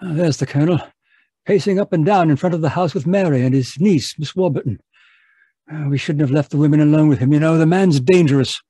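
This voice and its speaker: A man's voice: on the high side, a little fast, mellow, breathy edge, dry recording, studio quality. A man playing an earnest, easily alarmed doctor and detective's sidekick, fussy and old-fashioned, in radio mystery style.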